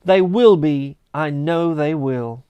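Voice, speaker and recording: A man's voice: fairly high pitch, takes it slowly, dark tone, clean tone, dry recording. A man voicing an elated, reformed old miser from a storybook, exuberant and full of repeated exclamations. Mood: joyful relief.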